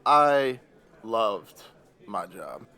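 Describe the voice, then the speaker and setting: Slow, deep-voiced, mournful posh old man, acted by a man. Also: big pitch swings, pushed and bright, full character voice. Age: older. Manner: halting, heavy, measured. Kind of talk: audio drama acting.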